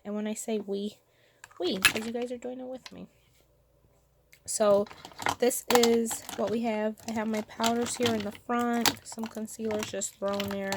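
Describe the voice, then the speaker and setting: Stuffy-nosed woman chatting slowly. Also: on the high side, vocal fry, very noisy. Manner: chatty, thinking aloud while sorting makeup. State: stuffy nose from a cold. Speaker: beauty vlogger. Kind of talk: casual makeup vlog chat. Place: home room with vanities.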